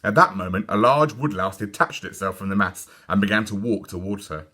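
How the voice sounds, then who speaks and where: A man with a British accent narrating a children's story in a deliberate, expressive storytelling manner. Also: fairly low pitch. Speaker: story reader.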